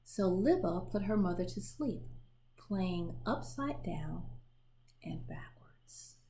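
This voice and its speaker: A woman reading a children's picture book aloud in an expressive storytelling manner, pausing to show the pictures. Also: on the low side, a little hiss or hum. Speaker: storybook reader.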